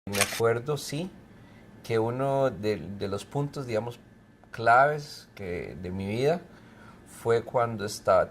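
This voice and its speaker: A middle-aged man, a professor and researcher from Mexico who grew up in Costa Rica, answering interview questions in a relaxed, explanatory way with frequent fillers. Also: on the low side, a little hiss or hum. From Mexico, raised in Costa Rica. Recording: faint music behind.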